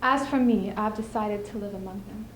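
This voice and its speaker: A young woman performing a stage monologue as a spirited, scheming widow, speaking emphatically with deliberate theatrical pauses. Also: medium pitch, fairly bright tone, close to an everyday voice. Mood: earnest, reflective. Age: young adult. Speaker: student speech team performer. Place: indoor school showcase stage. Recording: echoey room, clearly audible background noise.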